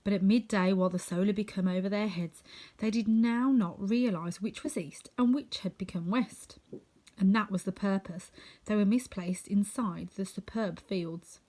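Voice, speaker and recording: A woman narrating a children's storybook in an expressive, scripted reading. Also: medium pitch, normal speed. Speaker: storybook reader.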